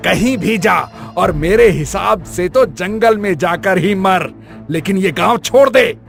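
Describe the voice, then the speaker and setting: A man's voice: high voice, animated, takes it slowly, gravelly, heavily acted. A man playing a cruel, domineering village moneylender in a cartoon, with a menacing, commanding manner. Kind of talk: Hindi cartoon voice acting. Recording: light music bed behind.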